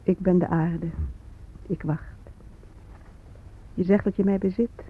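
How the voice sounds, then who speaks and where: An actress playing the Earth herself, a patient, wounded, mystical figure, in a deliberate and poetic radio drama monologue. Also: female voice, on the low side, steady tone, husky. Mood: quiet, reproachful. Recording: tinny, phone-like old radio recording.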